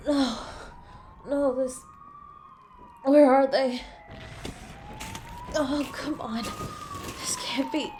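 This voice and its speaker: A woman's voice: high-pitched, background noise, heavily acted. A woman playing a devoted, guilt-ridden superhero in an intimate, emotional audio roleplay, with a pleading, tender way of speaking. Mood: shocked, frantic. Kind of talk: dramatic audio roleplay, intimate second-person.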